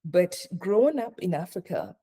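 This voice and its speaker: Middle-aged woman raised in Ghana, mellow and warm tone, earnest consultant speaking in a live session. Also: fairly low pitch, big pitch swings, normal speed, slightly rough. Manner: earnest, repeats points for emphasis. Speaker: hospitality consultant speaking as a guest. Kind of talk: spoken talk in a live online session.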